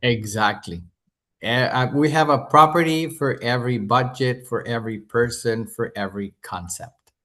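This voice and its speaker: Man with a Mexican accent, pitching. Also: normal speed, fairly bright tone. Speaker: hotel sales representative. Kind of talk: webinar sales presentation. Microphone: video call.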